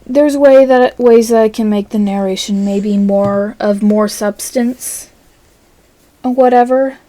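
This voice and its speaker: A woman talks slowly in a soft-edged, mellow voice at a middling pitch. She rambles casually as if keeping an audio log, halting and hesitating between phrases. The sound is dry, with very little room to it. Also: dry recording, poor audio. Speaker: channel host recording an audio log.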